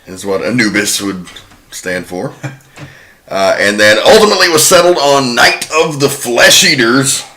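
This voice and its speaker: A man's voice: medium pitch, unhurried, bright, forceful tone. A man hosting a podcast, casually walking through researched facts about an old film. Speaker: podcast host. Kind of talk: casual film-history chat.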